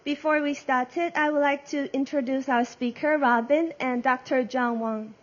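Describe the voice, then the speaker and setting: A woman's voice: sits high, steady tone, unhurried, dull audio. A woman whose first language is Mandarin, moderating a press conference and reading prepared introductions carefully, stumbling and correcting herself.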